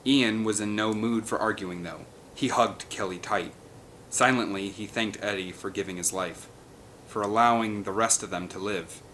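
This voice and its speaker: A man's voice: fairly low pitch, moderate pace, pushed and bright, slight background noise, low-quality recording. A man narrating an adventure audiobook in a dramatic, suspenseful, theatrical way. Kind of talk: dramatic scripted storytelling. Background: orchestral film soundtrack music.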